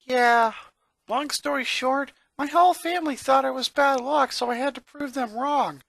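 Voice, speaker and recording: A preteen cartoon boy, acted out by an adult narrator, frustrated and worn down. The voice sits high, with a bright edge and a dry, close sound. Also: normal speed, gravelly, dry recording, heavily acted. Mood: frustrated, whiny. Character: frustrated preteen boy, weary and exasperated, cartoon fan fiction.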